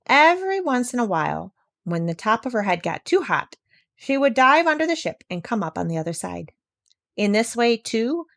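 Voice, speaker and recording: Animated woman reading a storybook aloud. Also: mid-range voice, no room sound, clean studio sound. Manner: expressive and animated. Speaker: narrator.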